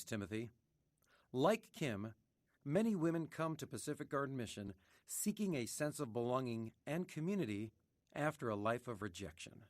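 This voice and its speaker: Animated man, dry studio sound. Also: mid-range voice, no echo. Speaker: mission president. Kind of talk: scripted radio message.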